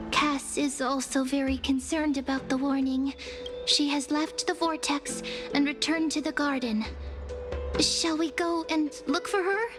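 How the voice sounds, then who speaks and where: A very high, bubbly girl companion.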